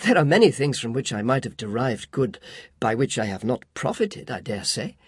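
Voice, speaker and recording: Cheerful young man, man doing the voice, eager, pleading, lively pitch, dry sound. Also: normal speed, husky, dry recording. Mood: earnest. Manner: bright, eager, gently pleading. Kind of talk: audiobook reading.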